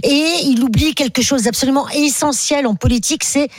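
A woman's voice: high voice, a little fast, no room sound. A woman commentator on a French TV panel who argues emphatically, repeats herself and cuts in on others. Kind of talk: heated panel debate.

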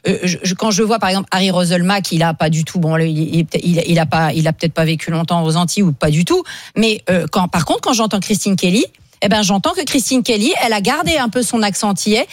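A woman's voice: lowish voice, quick pace, dry recording. Woman giving opinions, dry studio sound. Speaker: radio panellist. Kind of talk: opinionated conversational discussion.

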